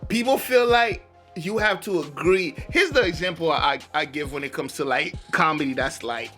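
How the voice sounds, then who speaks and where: A young man hosts a casual reaction video, chatting in loose slang and laughing often. His voice is high and bright, a little worn from so much laughing, and faint music plays underneath. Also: animated, normal speed. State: losing his voice.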